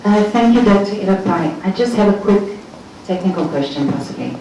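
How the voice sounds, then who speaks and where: A woman's voice: mid-range voice, lively intonation, fairly quick, warm tone, dull audio. A South African woman on a commission panel, asking polite, precise technical questions at a formal hearing. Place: large hearing room. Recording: echoey hall from far off, faint noise.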